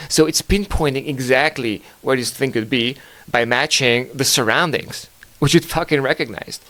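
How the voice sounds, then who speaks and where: A man's voice: medium pitch, fairly bright tone, no echo, poor audio. A man with Hungarian as his first language hosting a podcast, rambling through news in a casual, profane, joking way with lots of fillers. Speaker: podcast host.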